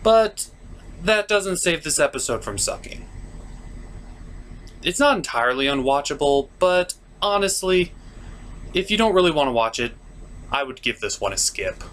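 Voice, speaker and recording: A man's voice: fairly high pitch, very animated, moderate pace, pauses often, fairly bright tone, a little hiss or hum. A man hosting a comic scripted review, narrating with theatrical, sarcastic, mock-dramatic emphasis. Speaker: cartoon reviewer, show host.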